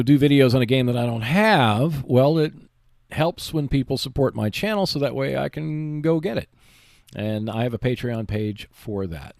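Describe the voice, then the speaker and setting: A man hosting a hobby podcast, reading scripted announcements in a measured, clearly enunciated way. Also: medium pitch, darkish tone, no room sound, clean studio sound. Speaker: podcast host.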